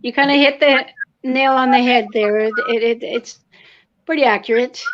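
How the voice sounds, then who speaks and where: A woman's voice: fairly high pitch, fairly even intonation, normal speed, highs cut off. A woman chatting casually as a guest on a video call, reflective and appreciative. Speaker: guest receiving a reading. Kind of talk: casual chat.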